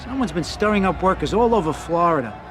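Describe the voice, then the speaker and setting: A man's voice: sits high, expressive, fast, a crowd in the background. An actor playing an informal male mob underling passing on news in a video game cutscene. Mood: matter-of-fact.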